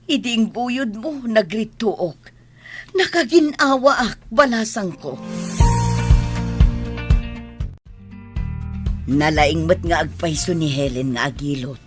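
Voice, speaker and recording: A woman's voice: medium pitch, animated, measured pace, over music, lightly acted. A Filipino woman playing an injured elderly mother in an audio drama, speaking plaintively. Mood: relieved, grateful. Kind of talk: Ilocano audio drama acting.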